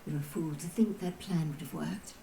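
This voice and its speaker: A woman's voice: sits low, normal speed, background noise, close to an everyday voice. A woman playing a bitter, proud, street-wise underworld figure in a fantasy video game, with a scornful, theatrical delivery. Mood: cold, suspicious. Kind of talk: dramatic video game acting.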